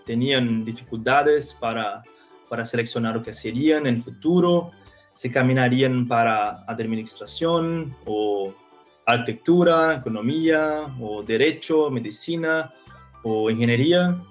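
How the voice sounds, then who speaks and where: Brazilian man speaking halting Spanish. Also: mid-range voice, highs cut off, faint music behind. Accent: Brazilian Portuguese. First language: Portuguese. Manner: halting, with frequent restarts. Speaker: guest. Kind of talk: casual interview talk.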